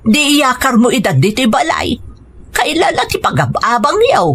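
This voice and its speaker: A woman's voice: high voice, very animated, normal speed, fairly bright tone, breathy edge, exaggerated voice. A woman acting a persuasive, coaxing mother of a grown son in an Ilocano radio drama. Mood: reassuring.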